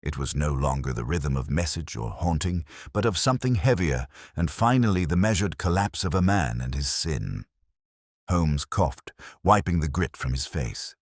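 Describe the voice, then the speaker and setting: A man with a very deep voice reads a mystery story aloud. His delivery is measured and dramatic, with plenty of movement in pitch. The sound is dry. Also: expressive, no room sound. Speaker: audiobook narrator. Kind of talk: dramatic scripted mystery narration.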